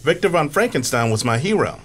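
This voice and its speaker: Man acting a lively, nerdy genius inventor. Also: on the high side, a little fast, husky, exaggerated voice. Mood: proud explaining. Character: nerdy male super genius inventor, casually confident. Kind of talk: comedic radio drama acting.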